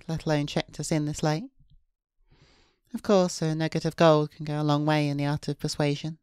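A woman VTuber reading first-person visual novel narration aloud in a measured storytelling manner. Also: low-pitched, darkish tone, smooth, dry recording, studio quality. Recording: music behind the voice.

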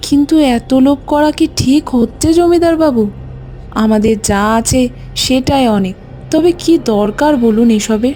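A woman's voice: high-pitched, moderate pace, warm tone, lightly acted. A woman voicing a worried, sensible landlord's wife in a cartoon fairy tale, respectful and cautioning. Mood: worried, reproving. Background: music.

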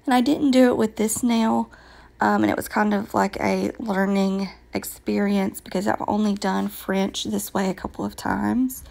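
A woman with a raspy voice from a recent illness, patiently explaining her nail work step by step with frequent ums. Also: mid-range voice, little pitch movement, moderate pace, a little mellow. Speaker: nail tutorial vlogger. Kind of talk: casual step-by-step nail tutorial voice-over.

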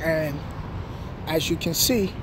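A man's voice: a little high, slow. A middle-aged man with a New York accent, casually vlogging while walking outdoors at night, talking in a laid-back, reflective way with street slang, recorded on a phone held at arm's length. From New York City. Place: outdoors at night on city streets and housing project grounds. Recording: outdoor street noise with passing traffic.

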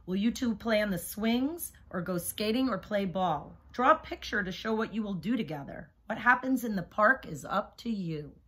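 A woman in her thirties or forties, a teacher doing a children's read-aloud in a slightly husky voice and putting questions to young listeners. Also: medium pitch, animated, moderate pace, few pauses. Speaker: teacher reading a picture book aloud. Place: small room indoors, seated on a couch by a window.

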